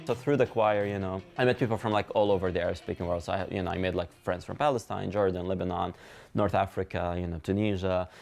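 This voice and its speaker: A man from Syria in his thirties, speaking reflectively and softly in an interview, with many hedging fillers. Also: low-pitched, fairly even intonation, quick pace, gravelly. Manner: soft-spoken, hedging with fillers. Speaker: interviewee, concert organizer.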